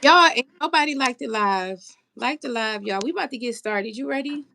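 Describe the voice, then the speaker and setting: A woman's voice: on the high side, lively intonation, a little fast, vocal fry, bright, forceful tone, a little hiss or hum. A woman hosting a casual live stream who sounds a little stuffy. She chats with her viewers and reads their comments aloud. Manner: chatty, talks to viewers in the comments. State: stuffy nose. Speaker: livestream host.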